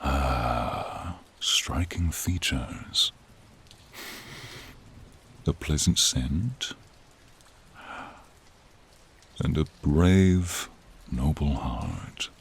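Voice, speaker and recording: A man voicing a noble, friendly silver dragon in a fantasy roleplay, deep and breathy, with solemn, old-fashioned courtly speech addressed to the listener. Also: lively intonation, exaggerated voice. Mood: welcoming, stately. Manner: slow, archaic, solemn, addressing listener directly. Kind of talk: scripted fantasy ASMR roleplay. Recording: rain sound effects behind the voice.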